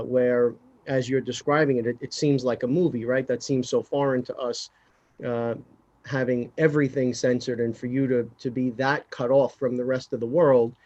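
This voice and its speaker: A man hosting a podcast interview in a conversational style, phrasing his questions in a halting, thoughtful way. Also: medium pitch, level tone, darkish tone, lo-fi sound. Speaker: podcast host.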